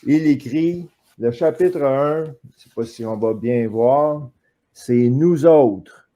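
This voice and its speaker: A man with a Quebec French accent, a guest in a live online interview, explaining history in a measured, lecturing way with frequent hesitations over a video call. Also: medium pitch, mellow.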